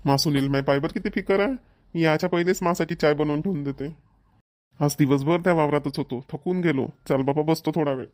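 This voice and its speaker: Guy doing an old village farmer grandpa, talks fast, affectionate, rural maharashtrian accent, cartoon. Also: fairly high pitch, a little mellow, no room sound, clean studio sound, close to an everyday voice. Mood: affectionate, weary. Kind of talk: cartoon family dialogue.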